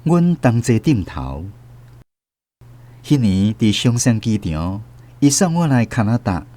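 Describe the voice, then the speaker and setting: A wistful older Taiwanese man, expressive. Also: lowish voice, slow pace. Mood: sombre remembrance. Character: wistful older emigrant man recalling lost first love. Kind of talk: narrated Taiwanese radio drama.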